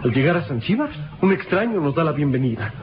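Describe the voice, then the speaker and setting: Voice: mid-range voice, very animated, fast talker, natural voice. An actor playing an eager, suspicious boy sidekick in an old radio drama, teasing and earnest in a theatrical way. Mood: wary, insistent. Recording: dull old radio broadcast recording. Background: dramatic music.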